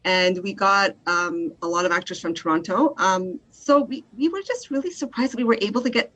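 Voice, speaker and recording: A woman's voice: medium pitch, fairly quick, fairly bright tone, poor audio. A woman filmmaker giving casual, conversational answers as an interview guest.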